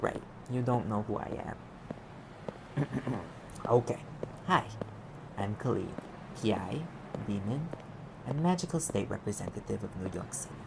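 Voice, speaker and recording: A man's voice: lowish voice, lively intonation, a little slow, gravelly, pauses often, background noise. A man playing a sarcastic, streetwise demon private investigator in an audio roleplay, talking in an offhand, sardonic way. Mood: brisk, casual. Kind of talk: conversational audio roleplay acting.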